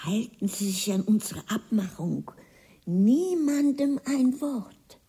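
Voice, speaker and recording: An actress playing an imperious, irritable old woman in a radio play, with a husky voice and a scornful, commanding manner. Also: a little high, lively intonation, slow, mellow, exaggerated voice. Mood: scheming. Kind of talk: German radio play acting.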